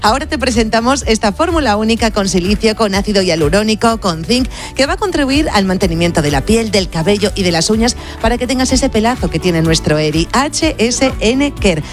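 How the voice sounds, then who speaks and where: A woman's voice: mid-range voice, lively intonation, fairly quick, few pauses, faint music behind. A woman reading a sponsor ad live on radio in an upbeat, salesy way. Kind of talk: live ad read.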